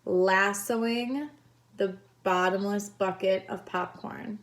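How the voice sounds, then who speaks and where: A woman reading a children's story aloud in a deliberate way, pausing to explain the pictures to young listeners. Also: mid-range voice, steady tone. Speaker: storytime reader, teacher.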